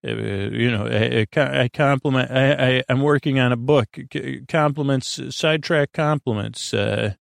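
A man with a creaky voice hosting a bedtime podcast in a soothing, lulling, meandering monologue that halts and drifts into tangents. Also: mid-range voice, no room sound, clean studio sound. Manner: meandering, halting, trailing off into tangents and repeats. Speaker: host of a bedtime story podcast. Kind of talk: rambling bedtime monologue to lull listeners to sleep.

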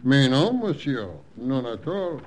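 A sly old French hotel clerk. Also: male voice, medium pitch, sing-song. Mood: knowing. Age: older. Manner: slow, courteous, knowing. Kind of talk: 1940s radio acting.

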